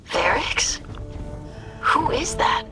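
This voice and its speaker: A woman voicing an earnest, curious young alien scholar in a video game, with a gentle, tactful, slightly hesitant manner. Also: high-pitched, sing-song, very slow, full character voice. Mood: concerned. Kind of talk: scripted video game dialogue. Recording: music behind the voice. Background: game music.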